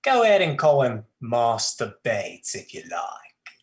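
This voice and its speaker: An actor as jokey male London urchin, slangy, smirking, lively intonation, slow delivery, stage play reading. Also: medium pitch.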